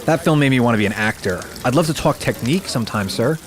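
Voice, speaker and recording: Casting: man to play an excitable, deferential fanboy soldier for radio drama, mid-pitched and rapid-fire. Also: noisy background, lightly acted. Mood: starstruck. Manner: gushing, deferential. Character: eager starstruck male recruit, aspiring actor. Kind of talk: post-apocalyptic radio drama acting.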